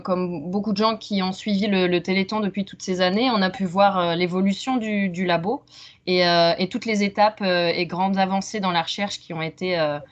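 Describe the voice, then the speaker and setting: A woman in her thirties from Franche-Comté in France, presenting science informally and haltingly on a video call. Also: medium pitch. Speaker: researcher, doctoral student presenting. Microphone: video call microphone. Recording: muffled video-call audio.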